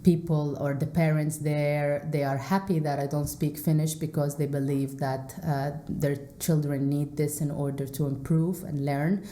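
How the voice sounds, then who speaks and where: Low, mellow-voiced woman with echo. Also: fairly even intonation, normal speed, hardly any pauses. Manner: hesitant, many fillers and restarts. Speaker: interview guest, English teacher. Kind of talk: casual interview answers. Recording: echoey room.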